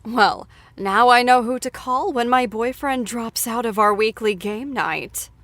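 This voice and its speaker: Bubbly lady hosting trivia, takes her time, bright clear-cut hosting voice. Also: on the high side, measured pace, no room sound. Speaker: show host. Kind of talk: playful trivia game show hosting.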